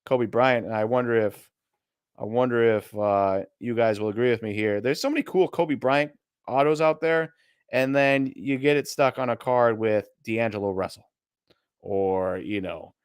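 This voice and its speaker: A man's voice: mid-range voice, normal speed, smooth, dry recording, studio quality. A man with a Midwestern American accent, co-hosting a casual live stream and thinking aloud as he browses listings. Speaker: co-host of a card auction stream.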